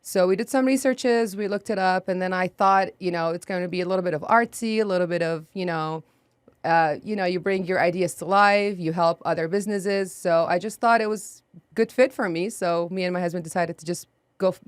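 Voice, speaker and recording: Low-voiced woman from Iraq, chatty guest. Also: fairly quick, clear, resonant tone, no room sound. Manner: chatty, frequent "you know" and "um". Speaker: guest, sign business owner. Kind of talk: casual interview. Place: podcast studio.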